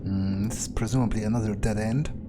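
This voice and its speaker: A man giving in-character gameplay commentary, thinking aloud in a musing, hesitant way with pauses mid-phrase. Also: fairly low pitch, steady tone, background noise. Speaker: gamer doing an in-character playthrough. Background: game music.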